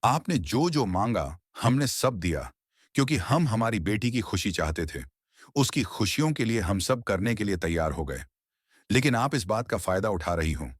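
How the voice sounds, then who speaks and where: A man's voice: on the low side, very animated, normal speed, slightly rough, no echo, studio quality. A man voicing a protective, righteous young brother in a cartoon moral story, speaking earnestly and admonishingly. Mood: reproachful.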